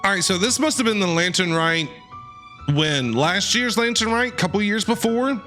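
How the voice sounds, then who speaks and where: A man's voice: high voice, lively intonation, moderate pace, bright, forceful tone. A man doing casual, jokey and reactive live commentary as a game streamer. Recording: game music behind.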